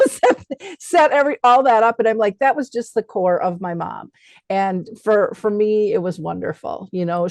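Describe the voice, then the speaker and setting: A middle-aged woman hosting a conversation, reflecting on personal memories in an informal, animated way. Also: mid-range voice, dry recording. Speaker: talk show host.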